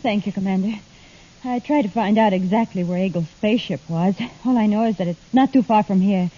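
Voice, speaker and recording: A woman's voice: mid-range voice, brisk, mellow, lightly acted. A woman playing an alert, earnest space patrol crew member in a 1950s radio drama, reporting respectfully. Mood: grateful. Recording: muffled, noisy old radio recording.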